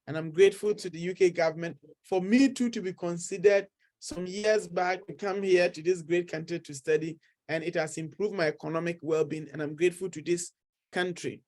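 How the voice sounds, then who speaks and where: A man's voice: sits high, a little mellow. Earnest man with a Ghanaian accent. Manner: earnest, repetitive, encouraging. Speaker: study-abroad consultant. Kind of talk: persuasive talk to camera.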